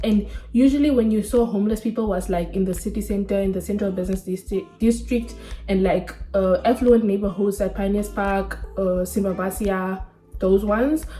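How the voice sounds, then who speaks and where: A woman's voice: mid-range voice, warm tone. A woman in her twenties from Namibia, chatting candidly and casually to camera in a sit-down vlog, full of slang. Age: 20s. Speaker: vlogger.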